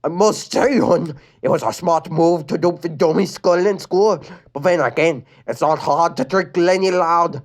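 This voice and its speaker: A man's voice: a little high, moderate pace, hardly any pauses, pitched above the performer's own voice, natural voice. A man reading a fan story, voicing a mean, sneering teenage girl in a mock German accent. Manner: sneering, condescending.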